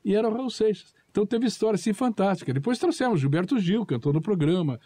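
A man's voice: high-pitched, expressive, fast talker, mellow, no echo. An older man from Paraná, a former TV producer recalling old anecdotes and acting out the dialogue. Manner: storytelling, acts out quoted dialogue. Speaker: guest, former TV show producer. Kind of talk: anecdotal recollection.

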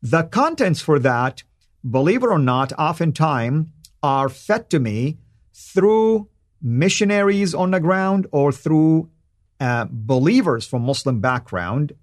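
A man's voice: a little high, sing-song, slow, no echo. Earnest man, dry sound. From Saudi Arabia. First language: Arabic. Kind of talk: direct-to-camera monologue.

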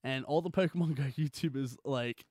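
A man's voice: medium pitch, fairly quick, a little mellow, no echo, clean studio sound. A man with an Australian accent co-hosting a podcast, chatting casually and riffing jokily with exaggerated little impressions. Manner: jokey, exaggerated mimicry and riffing. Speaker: podcast co-host. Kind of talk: casual comedic chat.

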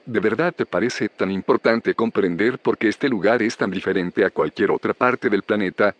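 Low-voiced, self-assured inventor played by a man, Spanish accent. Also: highs cut off, natural voice. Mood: firm, persuasive. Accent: Spain. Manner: firm, measured, persuasive. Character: self-assured male inventor, content in his secluded village. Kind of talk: audio drama acting, scripted dialogue.

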